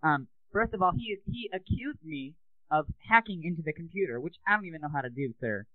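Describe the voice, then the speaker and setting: A man's voice: on the high side, animated, brisk, no room sound, close to an everyday voice. A man putting on an upset, fragile customer who complains to a store manager, overly polite and near tears, over a tinny phone line. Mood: aggrieved. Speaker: prank caller.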